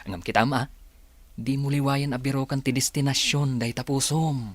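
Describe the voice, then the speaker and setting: A man's voice: medium pitch, normal speed, a little hiss or hum, lightly acted. A man acting a friendly, jovial, encouraging married village man in an Ilocano radio comedy-drama, with an Ilocano accent. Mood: jovial, teasing.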